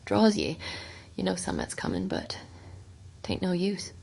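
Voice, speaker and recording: A woman's voice: on the low side, sing-song, a little mellow, voice pushed up, full character voice. Woman putting on a crackling, dying old farmer man. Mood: fading dread. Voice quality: breathy, crackling whispers and croaks. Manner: broken, halting fragments in rustic dialect. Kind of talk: dramatic horror audiobook reading.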